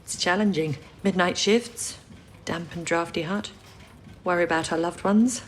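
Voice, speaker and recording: A woman playing a sensible, educated wartime codebreaker in a period radio drama, speaking in a measured, reassuring way. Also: fairly low pitch, slight background noise, close to an everyday voice. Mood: friendly. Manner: measured, explanatory, composed.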